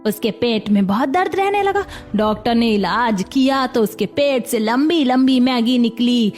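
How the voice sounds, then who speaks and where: A woman's voice: fairly high pitch, expressive, measured pace, darkish tone, soft background music. A woman playing a kindly old grandmother who gives soothing, cautionary advice in a cartoon story. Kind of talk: Hindi cartoon story dialogue.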